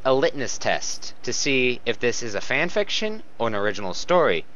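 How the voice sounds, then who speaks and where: A young man in casual fan chat, halting but opinionated, on a lo-fi voice call. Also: medium pitch, big pitch swings, a bit bright, a little hiss or hum. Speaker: co-host commentator.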